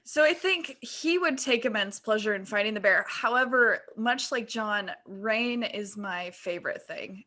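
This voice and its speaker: Female podcast co-host, bright crisp-edged voice at mid pitch, casual conversational delivery. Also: a little slow, hardly any pauses.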